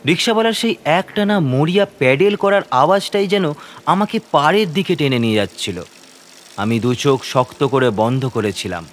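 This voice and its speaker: A man's voice: a little high, lively intonation, normal speed, slight background noise. A man narrating a first-person horror story in a suspenseful, theatrical manner.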